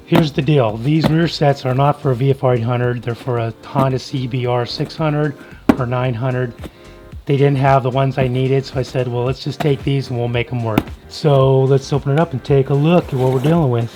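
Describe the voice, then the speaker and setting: A middle-aged American man with a slightly hoarse voice, hosting a how-to video in a casual, laid-back, colloquial way. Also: medium pitch, fairly even intonation, normal speed. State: slightly hoarse from being sick. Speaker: host of a motorcycle build series. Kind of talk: casual how-to narration to viewers. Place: home garage workshop. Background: music.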